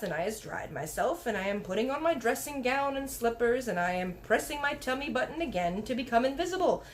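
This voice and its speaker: A woman voicing an excitable little boy who speaks in childlike broken grammar, in a storybook read-aloud. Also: on the high side, slight background noise. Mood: boastful. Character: excitable little boy telling fanciful dreams.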